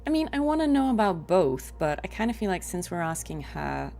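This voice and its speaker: Animated woman streamer, lowish voice. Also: a little fast, vocal fry, a little hiss or hum. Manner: expressive, informal, chatty. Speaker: livestreamer, voice actor. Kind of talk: casual chat and reactions while streaming a game.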